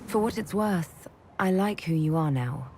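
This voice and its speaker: A composed, low-voiced mage heroine. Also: female voice, expressive, measured pace, dark tone, a little hiss or hum. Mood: caring. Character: composed, caring, gently teasing female mage leader. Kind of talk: video game drama acting.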